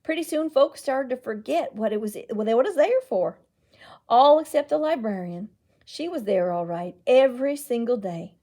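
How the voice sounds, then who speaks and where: A woman's voice: fairly high pitch, expressive, moderate pace, mellow. A woman voicing a feisty, wistful old aunt in a storybook, with a folksy, emphatic way of talking. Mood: wistful storytelling. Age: older. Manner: folksy, emphatic, scolding and tender by turns. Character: feisty, indignant, wistful old aunt who loves books.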